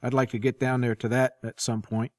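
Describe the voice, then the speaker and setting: A man's voice: mid-range voice, flat delivery, fairly quick, no room sound, studio quality. A man hosting a podcast panel, putting questions to his guests in a conversational way. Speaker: podcast host.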